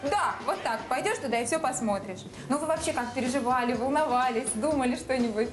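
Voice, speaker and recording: A woman's voice: high voice, lively intonation, brisk. A woman, presenting a home makeover show and chatting with guests. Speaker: TV host. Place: indoors in a furnished flat room and hallway. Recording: roomy indoor sound with background music.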